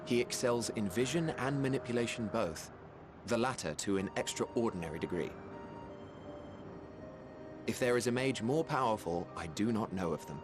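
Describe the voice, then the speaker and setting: An actor playing a genial, wry mage in a video game, speaking in eloquent, formal phrases with fond admiration. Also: male voice, on the low side, expressive, music in the background, lightly acted. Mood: earnest, admiring. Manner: eloquent, formal, measured storytelling. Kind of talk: video game cutscene acting.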